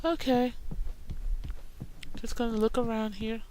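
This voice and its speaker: A woman's voice: fairly high pitch, little pitch movement, measured pace, mellow, a little hiss or hum, poor audio. A woman hosting a gaming video with casual commentary, chatty and full of teasing asides to the game characters. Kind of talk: casual let's-play commentary.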